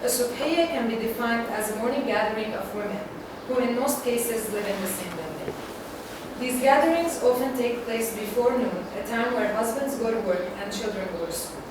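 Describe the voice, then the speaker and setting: A young woman from Syria giving a measured, reflective research presentation, read aloud to an audience. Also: a little high. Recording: echoey hall, far from the mic, noisy.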